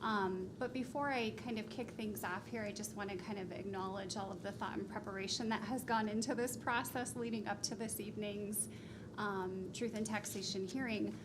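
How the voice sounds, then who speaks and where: A soft-spoken woman presenting budget figures to a city council, polished with occasional ums. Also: medium pitch, steady tone, normal speed. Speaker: finance consultant presenting. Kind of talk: formal presentation. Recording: noisy meeting room recording.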